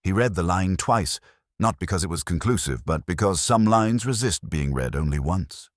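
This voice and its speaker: A man with a very deep voice narrates a cosy mystery story. His delivery is measured and wryly understated, yet the intonation rises and falls with plenty of movement. The sound is dry and free of room echo. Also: animated. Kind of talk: scripted literary audiobook narration of a cosy mystery.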